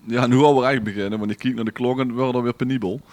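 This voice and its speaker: A man's voice: medium pitch, steady tone, rapid-fire, darkish tone. A man with a Dutch Limburg accent chatting casually as a podcast co-host among friends.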